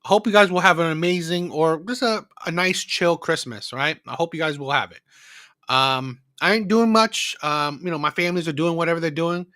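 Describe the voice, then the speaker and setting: High-voiced man chatting on a livestream. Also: a little fast, bright, forceful tone, dry recording, clean studio sound. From Philippines. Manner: rambling, repetitive, often adding "right?" as a tag. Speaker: livestream host of a call-in show. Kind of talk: casual unscripted chat to live viewers.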